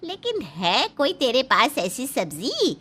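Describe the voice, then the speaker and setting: A woman voicing an envious, sharp-tongued mother-in-law in a cartoon story, scolding and emphatic. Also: a little high, huge pitch swings, slow pace, a little hiss or hum, pitched above the performer's own voice, full character voice. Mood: scolding, impatient.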